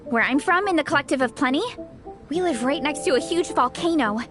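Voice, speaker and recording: A woman's voice: sits high, animated, a little fast, over music. A woman voicing an upbeat, encouraging young female adventurer in a video game, with a perky manner. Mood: cheerful, encouraging.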